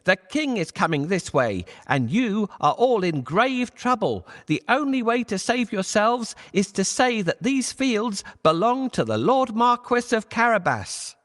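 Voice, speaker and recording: A cunning, bold cat hero from a children's storybook, acted out by a man. The voice is raised and swings up and down with theatrical, persuasive flourish, like a smooth talker giving orders. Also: sits high, sing-song, a little slow, few pauses, a bit bright, voice pushed up, full character voice. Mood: urgent, commanding. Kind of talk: children's fairy tale storytelling.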